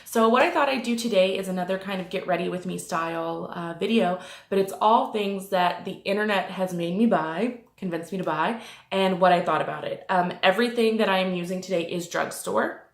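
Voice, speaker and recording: A woman's voice: on the low side, fairly quick, vocal fry. A woman vlogger with a slight cold, sounding a bit snuffly, chatting casually through a makeup review and rambling with lots of ums and likes. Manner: rambling, many ums and likes, self-correcting. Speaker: beauty vlogger. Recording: roomy echo.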